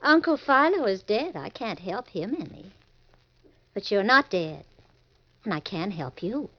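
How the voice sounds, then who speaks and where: A woman's voice: medium pitch, very animated, unhurried. An actress playing a scheming, money-hungry young woman with a babyish voice and a coy, coolly mocking manner in an old-time radio drama. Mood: calculating. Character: scheming young femme fatale, sweetly menacing, money-hungry. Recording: dull vintage radio recording.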